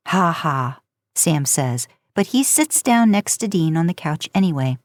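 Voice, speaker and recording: A woman narrates scripted fiction with an informal, conversational touch. Her voice sits somewhat low. The recording is very dry, with little room sound around her. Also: sing-song, normal speed, dark tone, no echo. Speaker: podcast host narrating fan fiction. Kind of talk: scripted story narration, audiobook style.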